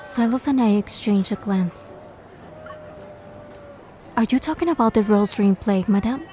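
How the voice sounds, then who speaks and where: A woman's voice: mid-range voice, moderate pace, dark tone, soft background music, lightly acted. A woman voicing a composed, playful young noblewoman who narrates her fantasy game adventure in a fan dub. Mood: composed, curious. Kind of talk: first-person scripted narration and dialogue, fan dub.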